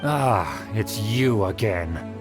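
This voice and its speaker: A man's voice: on the low side, expressive, very slow. Pompous, lilting aristocrat man. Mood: condescending. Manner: pompous, condescending, grandiose. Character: haughty, pompous male aristocrat from a disgraced noble clan. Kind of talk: video game villain acting. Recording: music playing behind.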